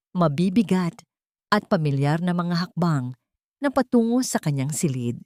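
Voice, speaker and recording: Low-voiced Filipino woman narrating, dry sound. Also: darkish tone, no echo. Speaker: storyteller, narrator. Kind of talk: dramatic scripted story narration.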